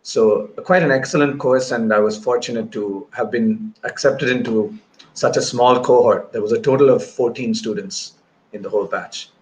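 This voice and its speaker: A man's voice: medium pitch, moderate pace. Man interviewed over a noisy video call. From grew up in Bahrain and Malaysia. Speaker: guest filmmaker. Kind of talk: conversational interview answer, recounting career. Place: indoor room, remote video call. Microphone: wired earphones on video call.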